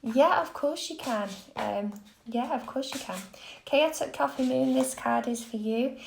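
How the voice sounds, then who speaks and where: A woman reading tarot on a live stream, gentle and soothing, a little croaky from a cold. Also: sits high, measured pace, slight background noise, rough recording. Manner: gentle, soothing, lots of okays. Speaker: tarot reader.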